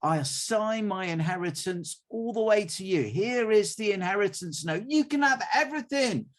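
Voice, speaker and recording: Guy putting on a high quavery old dad, easily fooled, swoops around a lot. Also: expressive, normal speed. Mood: pleased. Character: elderly blind father, gullible then dismayed.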